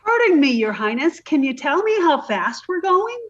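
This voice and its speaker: Woman putting on a ditzy high-voiced girl, bouncy, radio comedy vibe. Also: very animated, normal speed, a bit bright, muffled recording. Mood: dizzy, cheerful. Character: scatterbrained young woman, sweetly dim. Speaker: amateur radio play actor. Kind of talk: reading a 1950s radio comedy script.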